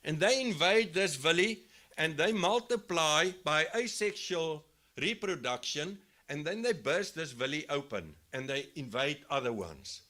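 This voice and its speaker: A South African man with a fairly high voice presents to a crowd of farmers at a live event. He explains livestock disease step by step, repeats points and restarts sentences. His voice is loud and carries well. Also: lively intonation, measured pace. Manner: says he has a loud voice. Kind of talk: live expo talk to farmers. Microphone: handheld mic, later speaks without it.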